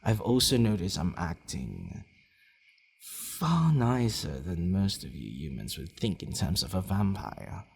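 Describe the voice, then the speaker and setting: A man playing a possessive, aloof but softening vampire lord in an intimate, slightly haughty ASMR roleplay audio drama, with a low, gravelly voice. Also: sing-song, measured pace, exaggerated voice. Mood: calm, explanatory. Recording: long reverb, faint background noise.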